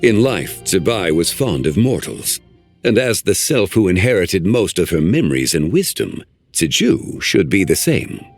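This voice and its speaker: A man voicing an ancient male guardian in a video game, speaking in a formal, measured, old-fashioned way. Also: low-pitched, big pitch swings, soft background music, full character voice. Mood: grave.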